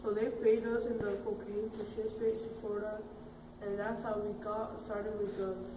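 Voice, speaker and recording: A woman's voice: medium pitch, level tone, moderate pace, lightly acted. A woman playing a ruthless, boastful male drug lord, stating things in a matter-of-fact way. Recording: echoey room, far from mic, noisy.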